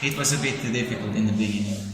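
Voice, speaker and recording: A young man from Croatia with a Croatian accent, an exchange student answering interview questions in halting English with many restarts. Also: fairly low pitch. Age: young adult. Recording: echoey hall with background noise.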